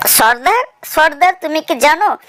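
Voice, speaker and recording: A woman voicing an excitable, loyal little worker ant in a children's cartoon, earnest and urgent. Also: sits high, normal speed. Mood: urgent pleading.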